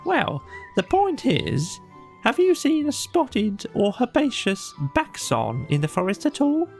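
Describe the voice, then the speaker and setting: Woman voicing a busy, high-pitched, swooping storybook rabbit. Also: wildly swooping pitch, slow, gravelly, a little mellow, soft background music, full character voice. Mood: brisk, insistent. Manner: emphatic, questioning. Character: busy, self-important talking rabbit, fretful and insistent. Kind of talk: children's storybook character voice.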